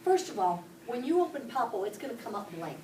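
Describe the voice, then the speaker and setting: A woman from Pittsburgh teaches a class, walking through each step and putting questions to the children. Her voice sits a little high. She is picked up from across an echoey classroom with steady background noise. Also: a little fast, distant mic. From Pittsburgh, Pennsylvania. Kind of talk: classroom instruction. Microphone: camera across the room.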